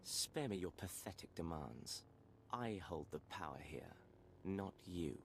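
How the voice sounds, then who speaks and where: An actor playing an arrogant, cruel Imperial villain in a video game, taunting and condescending. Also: male voice, sits low, expressive, a little slow, vocal fry, a little hiss or hum, exaggerated voice. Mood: sneering.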